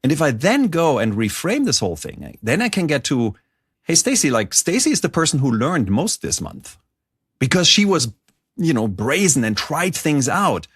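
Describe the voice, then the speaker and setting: A middle-aged man from Germany with a German accent, telling stories as an interview guest in a lively, rambling way. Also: fairly high pitch, moderate pace, slightly rough, no echo, clean studio sound. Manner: animated storyteller, frequent "like" and restarts. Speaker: guest author. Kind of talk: conversational interview.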